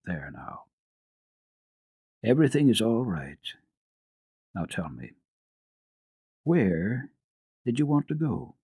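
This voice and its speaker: Man as a kindly, husky storybook doctor. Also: on the low side, very animated, no room sound, studio quality, heavily acted. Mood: gentle. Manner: gentle, measured, reassuring questioning. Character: kindly male family doctor, calm, soothing, quietly probing.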